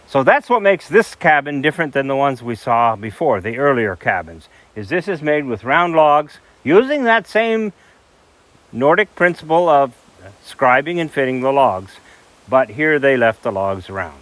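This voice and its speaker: Older guy from idaho, folksy and emphatic, mid pitch, camera a few feet off. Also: lively intonation, a little slow, a bit bright, poor audio. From central Idaho, USA. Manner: folksy, emphatic teacher, gesturing at logs. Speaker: log building expert. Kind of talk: explaining outdoors, then interview storytelling. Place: outdoors beside a log cabin, then indoors in a wooden museum room.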